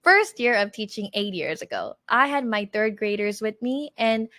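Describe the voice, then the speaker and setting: A woman's voice: medium pitch, animated, normal speed, bright, forceful tone. A woman in her thirties teaching an online language masterclass in an encouraging, motivational way, acting out her own past words as she goes.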